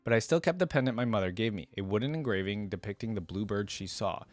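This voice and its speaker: A man's voice: lowish voice, expressive, quick pace, dry recording, natural voice. A man reading a first-person story as a downcast, bitter young male inventor from a smoggy slum, in a plain, resigned manner. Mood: sad, resigned.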